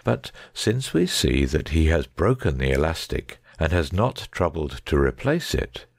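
Gentleman detective for an audiobook , acted by a man: very low, animated, assured and precise, lecturing his friend. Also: creaky, no room sound, studio quality, exaggerated voice. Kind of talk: dramatic audiobook reading.

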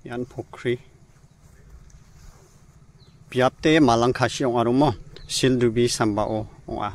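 A man's voice: mid-range voice, fairly even intonation, takes it slowly, darkish tone. A young man hosting a casual vlog by a rural pond, chatting and explaining directions into a phone held at arm's length. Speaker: vlogger host. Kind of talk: casual outdoor vlog talk. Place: outdoors by a rural fish pond.

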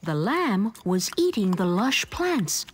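A woman narrating a children's storybook in an expressive, deliberate way. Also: medium pitch. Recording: music behind the voice.

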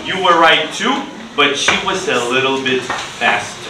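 A middle-aged man teaching an English class to children in simple, deliberate phrases, with repeated words and short instructions. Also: medium pitch, animated. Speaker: ESL teacher. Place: indoor school classroom. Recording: roomy, noisy classroom, distant mic.

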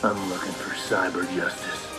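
An actor playing an over-the-top film trailer announcer and tough action hero, with dramatic, grim delivery. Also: male voice, deep voice, little pitch movement, normal speed, close to an everyday voice. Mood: grimly dramatic. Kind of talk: dramatic film trailer narration and one-liners. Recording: loud music behind.

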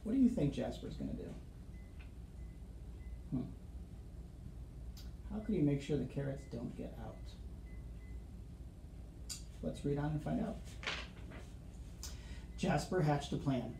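A middle-aged man reading a children's picture book aloud expressively, with suspenseful pauses and asides to young listeners. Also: on the high side, expressive, creaky, warm tone. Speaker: school storyteller reading to children. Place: small office, seated at desk. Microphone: camera across the desk. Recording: roomy office sound, faint background noise.

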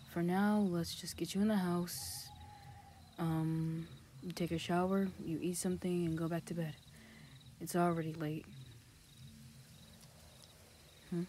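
A woman voicing a sarcastic teenage boy in a fan anime audio drama, deadpan and bossy as he drives. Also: sits low, a little hiss or hum. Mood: soft, coaxing. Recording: music playing under the voice.

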